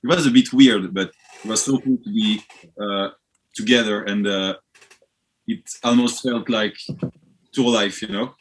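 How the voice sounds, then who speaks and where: A man from France with a French accent, a band guest chatting casually and haltingly in an interview. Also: on the low side, fairly even intonation, rough recording.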